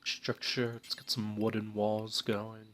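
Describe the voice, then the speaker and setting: Low-voiced stuffed-up nasal man. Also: slow pace. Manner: relaxed, thinking aloud with many fillers. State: stuffed up from a cold. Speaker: let's play host. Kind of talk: casual game commentary.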